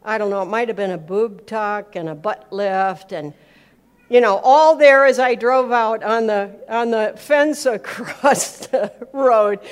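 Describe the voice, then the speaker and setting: A woman with a somewhat high voice gives an informal talk. She tells anecdotes as a teacher would, leaning hard on key words, repeating them, and slipping into quoted dialogue to make each point. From America and Switzerland. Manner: emphatic, repeats words for effect, acts out dialogue. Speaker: speaker giving a talk on faith and business.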